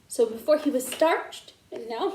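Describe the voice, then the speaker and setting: A woman hosting children's story time, reading a picture book aloud and explaining it simply in an animated way. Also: high-pitched, a little slow, slight background noise. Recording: echoey room.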